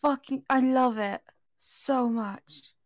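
A woman's voice: sits high, takes it slowly, no room sound, clean studio sound. A woman co-hosting a casual film chat as a giggly, gushing fan. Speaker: podcast co-host.

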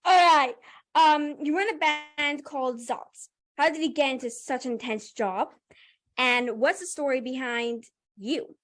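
A woman's voice: high-pitched, measured pace, a bit bright. A young woman hosting a podcast, running a casual interview in an enthusiastic, upbeat way. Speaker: podcast host.

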